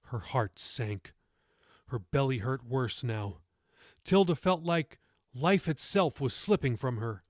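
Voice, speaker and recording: Male author-narrator for audiobook fiction, medium pitch, dramatic scripted read, very little room sound. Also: takes it slowly, dry recording, clean studio sound. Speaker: author narrating his own novel.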